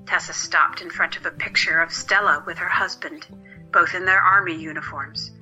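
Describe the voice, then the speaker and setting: A woman's voice: on the low side, steady tone, normal speed, bright, forceful tone, muffled recording, soft background music. A woman narrating a scripted story as the narrator of an audio drama.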